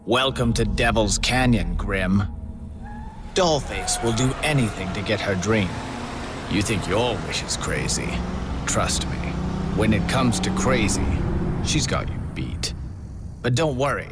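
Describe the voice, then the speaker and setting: A male actor playing the sinister master of a deadly contest, announcing with mocking, measured menace. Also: lowish voice, lively intonation. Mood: mocking, sly. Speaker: game announcer. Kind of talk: scripted villain narration. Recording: loud music and engine noise behind. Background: loud music, vehicles.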